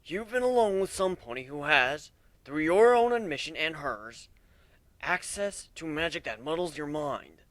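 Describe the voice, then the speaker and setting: A man puts on a stern, calm pony officer from a fantasy fan story. The voice sits higher than average for a man and is bright, formal and flatly authoritative. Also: a little slow, dry recording. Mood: stern, accusing. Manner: flat, formal.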